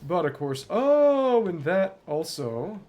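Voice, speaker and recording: A man's voice: high voice, sing-song, slow, dull audio, a little hiss or hum. A man giving casual gameplay commentary as a streamer, thinking aloud and reading game text out as he plays. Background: game music.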